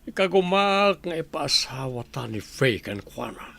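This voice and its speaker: A man's voice: mid-range voice, very animated, measured pace, vocal fry, fairly bright tone, heavily acted. A man acting a calculating, wealthy middle-aged father in a radio drama, with an Ilocano Filipino accent. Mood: scheming.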